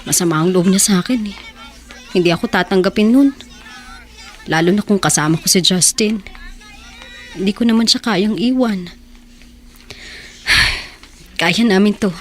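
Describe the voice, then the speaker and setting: A woman's voice: mid-range voice, measured pace, long pauses, lightly acted. A woman playing a poor, devoted young wife in a radio drama, anxious yet encouraging, with an emotional, coaxing delivery. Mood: worried, resolute. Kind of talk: Tagalog radio drama acting.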